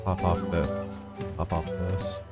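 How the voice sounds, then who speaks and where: A man's voice: low-pitched, level tone, slow, over loud music. A man casually talking through a live video game playthrough, reacting to what happens. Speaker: game streamer.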